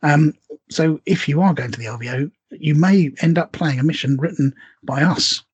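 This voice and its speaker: A man's voice: a little high, moderate pace, husky, dry recording, lo-fi sound. A man co-hosting a podcast in casual conversation, chatty, with frequent asides and tag questions. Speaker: podcast co-host.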